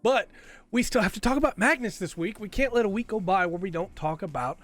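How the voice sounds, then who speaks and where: A man with a cold talks through a casual commentary video. His voice sits a little higher than most men's, and he rambles on, tagging his points with "right?" as he goes. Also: lively intonation, brisk, a bit bright. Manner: rambling, tags lines with "right?". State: has a cold. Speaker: video host.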